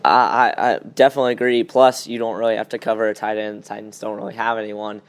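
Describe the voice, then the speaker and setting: A man's voice: lowish voice, little pitch movement, quick pace. A young man hosting a podcast, chatting casually and giving blunt opinions on sports. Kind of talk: casual sports chat, game predictions.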